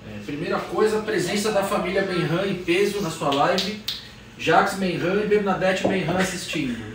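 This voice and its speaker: A man's voice: high voice, recorded from a distance. A man producing a live cooking show, reading out viewer messages to the host. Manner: relays viewer messages, brisk. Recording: echoey room, off-mic, audible noise.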